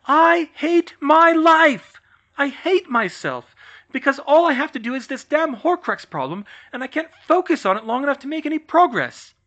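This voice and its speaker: Brief: an overworked young witch, loud, high and strained, swinging wildly in pitch. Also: very animated, normal speed. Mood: frantic. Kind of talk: audiobook reading of fan fiction. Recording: slightly muffled recording.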